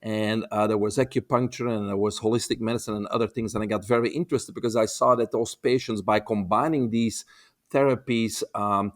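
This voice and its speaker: A man in his fifties from Belgium with a Belgian accent, a holistic health expert telling his story as a guest on a remote interview in a relaxed, wry way. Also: on the low side, fairly quick. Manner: relaxed, wry storyteller who acts out quoted dialogue. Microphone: remote, video call.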